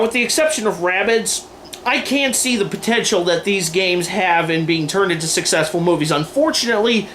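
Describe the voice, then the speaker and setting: A man's voice: high-pitched, fairly bright tone, a little hiss or hum. A man delivering opinion commentary to camera in an emphatic, wordy, informal way, like a host going through a list. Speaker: video host and aspiring filmmaker.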